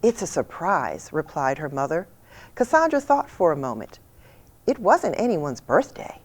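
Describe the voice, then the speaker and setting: A woman reading a children's picture book aloud with expressive storytelling delivery. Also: fairly low pitch, normal speed. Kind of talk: storybook read-aloud narration.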